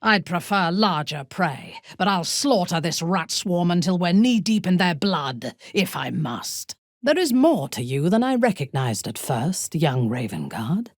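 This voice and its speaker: A ruthless, commanding female dark elf for a fantasy game. Her voice is husky and mostly low, with wide, dramatic swings in pitch. She speaks formally and imperiously, with a vengeful edge. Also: big pitch swings, a little slow, hardly any pauses, no echo, clean studio sound, exaggerated voice. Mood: cold, imperious. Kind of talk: fantasy video game voice acting.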